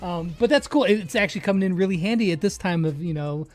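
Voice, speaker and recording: A woman's voice: fairly low pitch, quick pace, a little mellow. A woman hosting a casual interview, informal and affirming as she chats with her guest. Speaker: interviewer, host.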